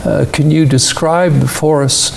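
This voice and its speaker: Slow, gravelly-voiced male interview host. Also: a little high, steady tone, a little hiss or hum. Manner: deliberate with many hesitations and fillers. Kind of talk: slow, measured interview questions.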